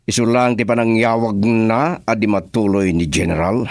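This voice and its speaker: A man's voice: lowish voice, normal speed, darkish tone, dry recording, studio quality, close to an everyday voice. An actor playing a grumpy, hot-tempered man in an Ilocano radio drama. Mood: irritated.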